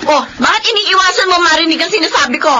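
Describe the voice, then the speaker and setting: Fed up big sister in a pinoy radio drama, super high voice, lively and reproachful, noisy sound. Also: female voice, fast talker, heavily acted. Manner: reproachful, indignant, emotionally heightened. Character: resentful, scolding adult elder sister, fed up with her brother. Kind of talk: Filipino radio drama acting.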